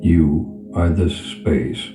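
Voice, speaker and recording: Male meditation guide, very low register, slow soothing delivery with long pauses, roomy reverb. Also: steady tone. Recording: reverberant voice over clear background music. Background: background music.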